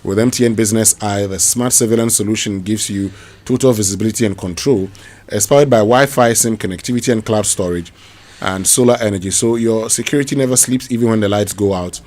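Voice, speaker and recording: A male radio host with a Ghanaian English accent and a somewhat low voice. He moves through sponsor ads in a quick, promotional style, then reads listener questions in a plainer tone with small fillers. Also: darkish tone. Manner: brisk promotional delivery with fillers.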